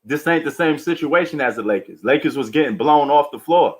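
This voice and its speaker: A man's voice: a little high, animated, fairly quick. A man hosting a live sports stream, chatting casually with slangy, bantering commentary. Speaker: livestream sports host.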